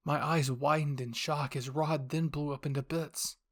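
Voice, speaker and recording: Storyteller narrating anime fanfic, middle pitch, dramatic and suspenseful, goes softer on tense bits. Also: fairly even intonation, normal speed. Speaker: narrator and voice actor of all characters. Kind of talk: dramatic first-person fan fiction narration.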